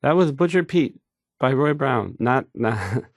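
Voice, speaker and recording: Stammering, painfully shy radio DJ. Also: male voice, medium pitch, animated, unhurried, dry recording, clean studio sound. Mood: nervous, apologetic. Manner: stammering, hesitant, self-doubting, trailing off. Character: painfully shy, nervous, awkward male radio DJ. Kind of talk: video game character acting.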